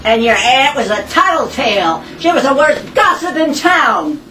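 A woman playing an excitable, quarrelsome grown daughter in a farcical stage comedy, with histrionic, emphatic delivery. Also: high voice, moderate pace. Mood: indignant, spiteful. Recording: echoey room, distant mic, clear noise.

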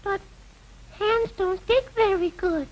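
A man's voice: very high-pitched, takes it slowly, poor audio. A man voicing an earnest, plaintive little girl puppet in a children's educational show, speaking in a hesitant, childlike way. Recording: old 1960s film soundtrack, music behind.

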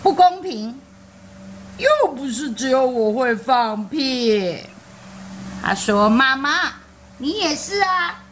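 A woman playing a cheeky little boy in a children's storybook. He complains in a high, whiny voice. He tattles on his family without shame. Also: animated, takes it slowly, long pauses, a bit bright, reverberant, slight background noise, exaggerated voice. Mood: complaining.

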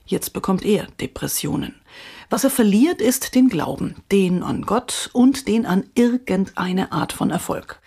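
Woman podcast host reading a scripted obituary, husky voice, wry dramatic pauses. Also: medium pitch, darkish tone.

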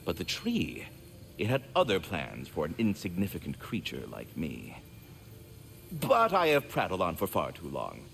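A man's voice: low-pitched, huge pitch swings, normal speed, vocal fry, a bit bright, slight background noise, heavily acted. An actor voicing a courteous, wordy, fatherly giant squirrel with flowery formal speech in a video game. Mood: cheerful, chatty. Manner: verbose, formal, flowery diction. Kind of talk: theatrical video game acting.

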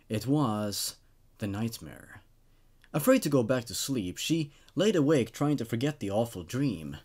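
A man's voice: medium pitch, a little mellow. Young man reading and reviewing stories. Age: 20s. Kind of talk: reading stories aloud with casual commentary.

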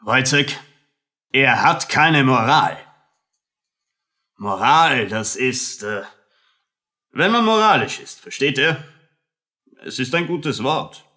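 An actor playing a condescending, melancholic army captain in a radio play, with a fussy, pompous, lecturing manner. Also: male voice, medium pitch, sing-song, sharp tone, full character voice. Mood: pompous, reproachful. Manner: pompous, slow, drawling, lecturing. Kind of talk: German radio play acting.

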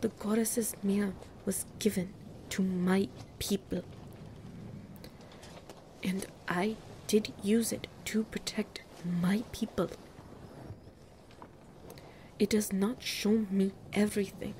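A woman's voice: mid-range voice, steady tone, takes it slowly, pauses often, dark tone, slight background noise, lightly acted. A woman playing a grave, mystical female ruler in an audio roleplay, speaking formally and breathily as she pleads for her people. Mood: solemn pleading.

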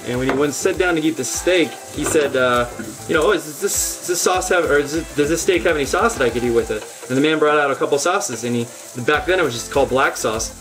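A man in his thirties hosting a home cooking demo, giving earnest step-by-step instructions with deadpan jokes, recorded on a lapel mic in a home kitchen. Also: fairly high pitch, quick pace, rough recording. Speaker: cooking show host. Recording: music playing underneath.

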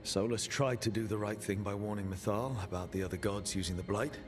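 An actor playing a level-headed companion in a fantasy video game cutscene. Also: male voice, on the low side, fairly even intonation, fairly quick, lightly acted. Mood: measured, questioning. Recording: dialogue under clear background music.

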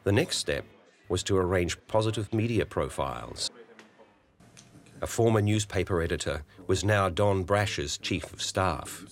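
A man narrating a political documentary in a measured, matter-of-fact way. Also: low voice, lively intonation.

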